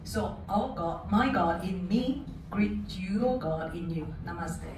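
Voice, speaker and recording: A middle-aged woman lecturer, who speaks English as a foreign language, talking into a handheld mic in a university classroom. She speaks slowly and deliberately and is animated and laughs often. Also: lowish voice. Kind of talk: classroom lecture, lively interaction with students. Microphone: handheld microphone. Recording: roomy classroom audio from a far camera. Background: student chatter.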